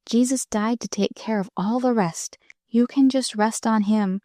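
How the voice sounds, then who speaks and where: A woman voicing a young minister's daughter who speaks gently and softly, soothing a sick girl. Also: fairly high pitch, unhurried, no echo, clean studio sound, natural voice.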